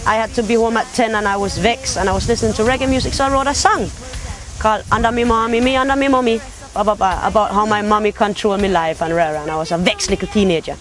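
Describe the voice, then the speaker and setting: Young woman with patois turns. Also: on the high side. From Denmark. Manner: talkative storytelling with patois phrasing. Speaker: competition finalist. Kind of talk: casual interview.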